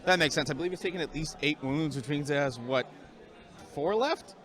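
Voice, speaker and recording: A man commentating a tabletop game, speaking in a loud projecting voice. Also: a little high, brisk.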